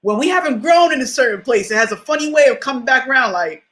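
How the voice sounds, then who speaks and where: Lively deep-voiced woman speaker. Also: quick pace. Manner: emphatic, repeats key phrases for effect; conversational, often asks "you know what I mean?". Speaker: motivational speaker. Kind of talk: motivational talk.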